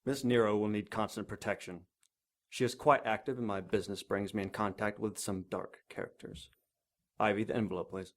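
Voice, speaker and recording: Gravelly, commanding older rich man played by an actor. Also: fairly low pitch, steady tone, a little fast, a little hiss or hum, natural voice. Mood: businesslike. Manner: curt, imperious, formal. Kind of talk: 1930s noir audio drama acting.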